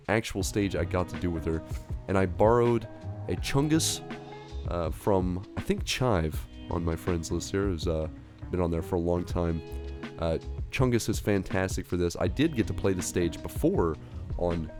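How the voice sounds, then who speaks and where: A low-pitched man talks through his gameplay in an easygoing, rambling way, full of uhs and ums. His voice sounds stuffy and nasal, a little worn and hoarse from being sick. Clear guitar music plays underneath him. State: nasal and slightly hoarse from recent illness. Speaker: gaming channel host. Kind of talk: casual gameplay commentary voice-over.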